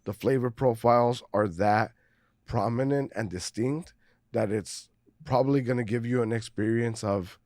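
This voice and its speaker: A man talks through a beer review in a loose, rambling way, cursing casually and breaking into laughter. His voice sits at an ordinary pitch and sounds close and dry, with no room sound behind it. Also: normal speed, a little mellow, dry recording. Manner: rambling, swears freely, laughs often. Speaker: podcast co-host.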